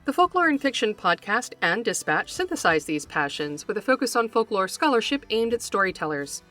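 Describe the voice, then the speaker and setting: A woman podcast host reading scripted educational narration in a measured, articulate, scholarly manner, close to the microphone. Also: mid-range voice, big pitch swings, a bit bright, faint music behind. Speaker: podcast host, folklore scholar and fiction writer.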